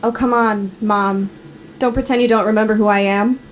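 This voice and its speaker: A woman's voice: medium pitch, steady tone, moderate pace, highs cut off, a little hiss or hum, natural voice. A woman reading the part of a mysterious, taunting young woman caller at a screenplay table read.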